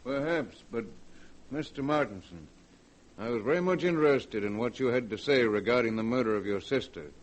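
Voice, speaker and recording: An actor in a 1940s radio drama playing a shrewd county coroner with a formal, deliberate American delivery. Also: male voice, medium pitch, expressive, lightly acted. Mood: measured, probing.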